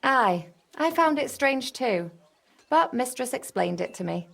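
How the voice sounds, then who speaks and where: A woman's voice: sits high, very animated, unhurried, creaky, exaggerated voice. A woman playing a flirty, cheerful medieval serving woman with a coy, teasing manner in a video game. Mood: chatty, explanatory. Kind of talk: naturalistic game dialogue.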